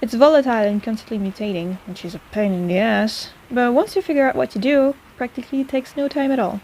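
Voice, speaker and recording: A woman's voice: on the high side, quick pace, a little mellow, a little hiss or hum, lightly acted, poor audio. A woman playing a snarky but caring apothecary in an intimate fantasy audio roleplay, chatty and teasing in a casual way. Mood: focused, teasing.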